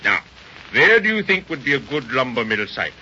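An actor playing a hearty, ambitious immigrant landowner on the frontier in a 1930s radio drama. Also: male voice, medium pitch, very animated, moderate pace, bright, forceful tone. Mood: jovial, businesslike. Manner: expansive, proprietorial, hearty. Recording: muffled, hissy 1930s radio recording.

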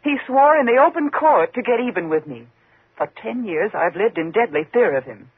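On a muffled old radio recording, a frightened single woman haunted by fear. Her husky voice moves up and down dramatically, tense and faltering, in classic 1950s suspense style. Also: fairly high pitch, expressive, moderate pace, no echo, full character voice. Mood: fearful, insistent.

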